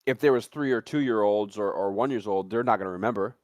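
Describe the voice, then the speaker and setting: Low-pitched man, rambling casual talker. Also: a little mellow, no room sound. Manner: rambling, halting with repetitions. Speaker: talk show panelist. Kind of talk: casual speculative discussion.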